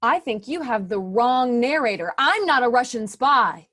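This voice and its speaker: A woman's voice: on the high side, very animated, normal speed, fairly bright tone. A woman playing a wry, playful narrator telling a fairy tale in an amateur stage play.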